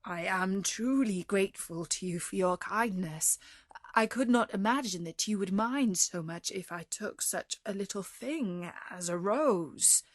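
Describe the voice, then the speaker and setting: A woman's voice: mid-range voice, a little slow, no echo, studio quality, close to an everyday voice. A woman voicing a frightened male merchant, a father begging for mercy. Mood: pleading. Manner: pleading, frightened. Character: terrified older male merchant, pleading father.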